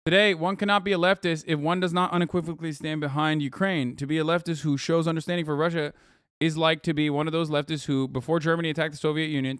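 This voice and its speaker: A fairly high, smooth male voice recorded close and dry, as a streamer reacts to an article. He rants with heated sarcasm and frequent swearing, pausing on fillers before driving his point home. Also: fairly bright tone, dry recording. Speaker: streamer host. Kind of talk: live stream reaction commentary.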